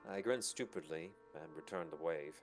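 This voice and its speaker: A man's voice: low voice, moderate pace. A man reading first-person narration from a visual novel as a storyteller. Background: background music.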